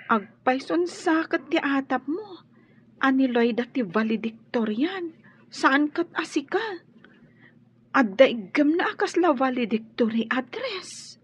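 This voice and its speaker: A woman playing a caring, animated young classmate in a radio drama. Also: high-pitched, unhurried. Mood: eager.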